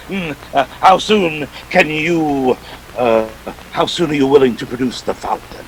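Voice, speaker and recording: A man's voice: on the high side, slow, a little hiss or hum, low-quality recording. A man playing a suave, ruthless treasure-hunting villain in a radio play, with pompous, courtly speech and sly chuckles. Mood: smug, eager. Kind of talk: 1940s radio play acting.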